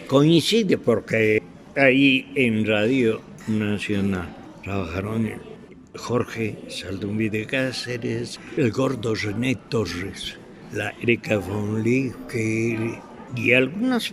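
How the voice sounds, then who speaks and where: Slow, gravelly older Ecuadorian man. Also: lowish voice, lively intonation, a crowd in the background. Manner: halting, searching for words. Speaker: veteran radio drama actor, interviewee. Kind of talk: reminiscing in an interview.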